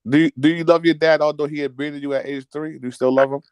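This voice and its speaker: A man hosts a casual group chat and talks fast, teasing and needling the people around him with profane banter. His voice sits fairly high, and the sound is dry and close, with no room echo. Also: fairly even intonation, clean tone.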